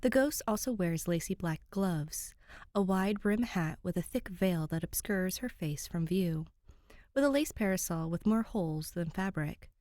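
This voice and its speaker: A woman's voice: on the low side, animated, unhurried, darkish tone, no room sound, studio quality. A woman reading a story aloud as a scripted fiction narrator. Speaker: podfic narrator.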